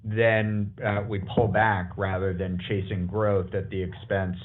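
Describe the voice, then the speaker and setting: Male corporate exec, lowish monotone, deliberate hesitant answer, phone-grade audio. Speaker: company executive. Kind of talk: unscripted answer on an earnings call. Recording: thin phone-like conference call line.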